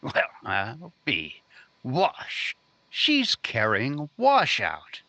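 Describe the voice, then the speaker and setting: Man playing excitable, bright-voiced radio-drama reporter. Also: medium pitch, extremely slow, heavily acted. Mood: surprised. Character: dogged, excitable male newspaper reporter in a 1950 crime drama. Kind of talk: old-time radio drama acting.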